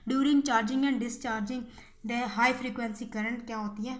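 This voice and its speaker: A woman's voice: a little high, steady tone, drawn-out words. A young woman giving an informal explanatory lecture, repeating herself, asking and answering her own questions and mixing in English technical terms. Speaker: physiotherapy teacher.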